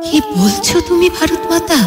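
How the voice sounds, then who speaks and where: Woman acting earnest questioner, high voice, heavy reverb. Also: big pitch swings, normal speed. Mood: troubled, questioning. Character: earnest, wondering female companion questioning the motherland. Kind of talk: Bengali audio drama acting. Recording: long echoing reverb, faint music behind.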